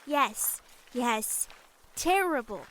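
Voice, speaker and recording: A woman playing a naive young shapeshifter in human guise, speaking in halting short phrases in an audio drama. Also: fairly high pitch, animated, a bit bright, slight background noise, heavily acted, rough recording. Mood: eager, simple.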